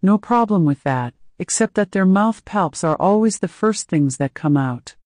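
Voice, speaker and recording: A woman's voice: medium pitch, expressive, moderate pace, darkish tone, dry recording, clean studio sound, natural voice. A woman reading an audiobook, voicing a massive male alien slave keeper who is genial, mocking and coolly menacing. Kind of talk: audiobook character voice.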